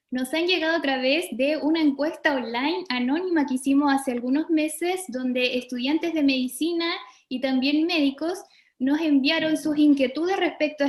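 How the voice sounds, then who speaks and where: A woman's voice: sits high, reverberant. A young adult woman with a Chilean Spanish accent, a doctor co-hosting an online forum and leading a prayer in an earnest way. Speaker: co-host, doctor.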